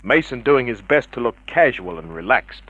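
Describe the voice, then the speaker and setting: A man playing a wry, tough private eye, deadpan and wisecracking, in a hard-boiled radio drama narration. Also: medium pitch, normal speed. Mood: wry, narrating. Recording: dull vintage radio drama recording.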